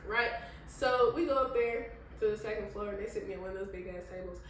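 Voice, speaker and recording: A woman's voice: mid-range voice, fast talker. A young adult American woman hosting a podcast, telling stories casually and informally, acting out dialogue as she goes. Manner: animated retelling, acting out quoted dialogue; informal, swears freely. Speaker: podcast host. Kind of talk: casual conversational storytelling. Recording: very echoey room, distant mic, faint noise.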